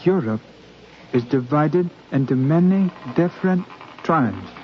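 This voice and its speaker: A man acting a devoted, studious African boy in a 1950s radio drama, speaking in halting, careful, simple phrases. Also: fairly high pitch, lively intonation. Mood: careful reciting. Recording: muffled, hissy 1950s radio recording.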